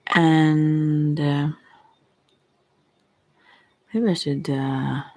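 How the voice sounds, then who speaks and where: Low creaky woman, non-native english, soft asmr ramble that drifts into whispers, trails off a lot. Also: fairly even intonation, drawn-out words, no echo. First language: not English. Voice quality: soft, breathy, slipping into whispers. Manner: hesitant, meandering, trailing off. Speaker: ASMR creator and tarot reader.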